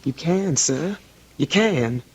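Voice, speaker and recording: Husky, anxious older housekeeper. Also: female voice, low-pitched, expressive, slow pace, exaggerated voice. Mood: humble, anxious. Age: middle-aged or older. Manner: deferential, fearful, pleading. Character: anxious, long-suffering older housekeeper, protective mother. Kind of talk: old-time radio drama acting.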